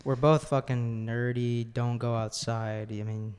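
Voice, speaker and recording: A man's voice: medium pitch, little pitch movement, a little slow, a little mellow, clean tone, in a large hall. A young man with a young-sounding voice, a guest in a casual podcast chat who swears freely. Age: young adult.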